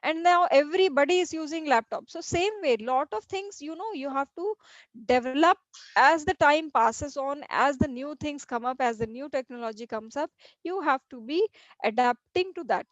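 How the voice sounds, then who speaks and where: A middle-aged woman with an Indian English accent lectures her students in a high voice. She explains point by point, often restarting and repeating her phrases. The recording is dry and dull, with a lo-fi sound. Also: animated, normal speed, no room sound. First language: Hindi. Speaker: teacher. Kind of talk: classroom lecture.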